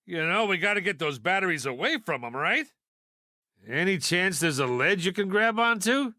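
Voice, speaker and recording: A man's voice: sits high, animated, moderate pace, bright, forceful tone, no echo, studio quality, heavily acted. A man voicing a wisecracking toy piggy bank in a video game, a brash know-it-all with a sardonic, folksy delivery. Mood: wry, casual. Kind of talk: video game character voice lines.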